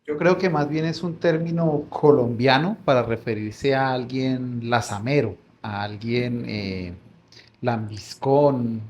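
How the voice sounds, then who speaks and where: A man's voice: mid-range voice, slow pace, darkish tone. A man speaking off the cuff, explaining informally and hesitantly as he searches for words. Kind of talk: off-the-cuff aside explaining a slang word. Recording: background ambient noise.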